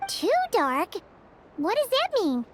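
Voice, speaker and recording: A woman voicing a tiny, excitable fairy companion in a video game, squeaky and childlike, exclaiming and asking wide-eyed questions. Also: takes it slowly, noisy background, exaggerated voice. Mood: alarmed. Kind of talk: video game character dialogue.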